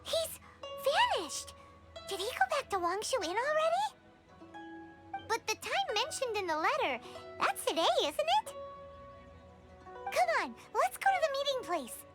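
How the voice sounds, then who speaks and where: Squeaky, extremely high-pitched fairy sidekick. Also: female voice, very animated, a little slow, pauses often, loud music behind the voice, full character voice. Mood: puzzled, eager. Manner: refers to self in third person, exclamatory. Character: tiny floating female fairy companion, bubbly, cheeky, childlike. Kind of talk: cartoonish video game character acting.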